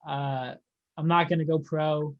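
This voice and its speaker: Higher-pitched young man, casual and halting. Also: fairly even intonation, muffled recording. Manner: halting, informal, peppered with like and dude. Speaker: interviewer. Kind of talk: casual chat.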